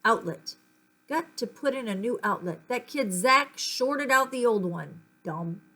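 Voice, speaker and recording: A woman's voice: on the high side, lively intonation, unhurried, sharp tone, full character voice, low-quality recording. A woman voicing a grumpy, weird male school janitor in a children's story, muttering bluntly. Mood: grumbling. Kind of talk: storybook character voice.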